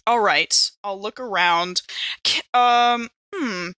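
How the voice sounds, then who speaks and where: A woman's voice: mid-range voice, animated, very slow, a bit bright, no echo. A woman chatting casually as a player improvising in a tabletop role-playing game.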